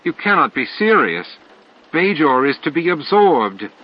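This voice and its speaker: A man's voice: on the high side, big pitch swings, unhurried, pitched above the performer's own voice. A man voicing a confused, anguished insectoid alien hive master in an audiobook, speaking in a formal, earnest way. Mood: shocked, pleading. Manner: formal, earnest, bewildered speech. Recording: dull lo-fi audio, clear noise.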